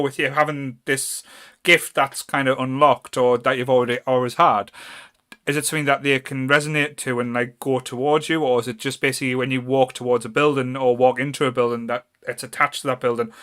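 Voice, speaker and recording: A man's voice: mid-range voice, bright, forceful tone. A man with a North East English accent chatting casually as a podcast host, blunt, sweary and jokey.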